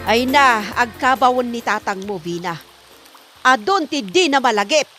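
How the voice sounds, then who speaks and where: A woman's voice: on the high side, expressive, moderate pace, sharp tone, soft background music. A woman playing a suspicious, scolding middle-aged wife in an Ilocano comedy drama, with an Ilocano accent. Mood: insistent.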